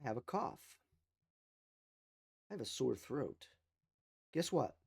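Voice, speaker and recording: Husky-voiced man giving a motivational talk. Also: medium pitch, very animated, slow, a little mellow. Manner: emphatic, repeats key phrases. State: slight cough. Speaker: mindset coach.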